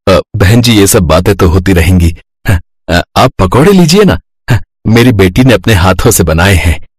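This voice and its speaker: A man's voice: low-pitched, lively intonation, moderate pace, breathy edge, no echo. A man voicing a mild family man in a comic cartoon story, coaxing and appeasing. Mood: placating. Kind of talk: dramatised cartoon story dialogue.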